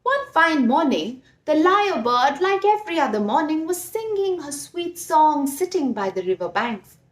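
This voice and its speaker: A woman telling a story to young children, expressive and speaking directly to her listeners. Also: high-pitched, moderate pace. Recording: roomy echo.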